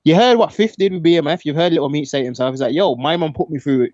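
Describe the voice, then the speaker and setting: A man with a London accent arguing in casual podcast debate, using heavy slang in an animated manner. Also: a little high, fast talker, no echo, highs cut off. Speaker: podcast co-host.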